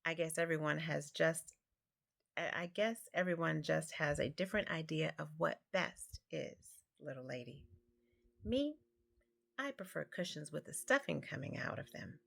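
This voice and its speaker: A woman voicing an easygoing, homesick old male alley cat in a storybook, with wistful, drawn-out delivery. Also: low-pitched, expressive, gravelly, fairly bright tone, exaggerated voice. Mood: easygoing musing. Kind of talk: storybook character voice.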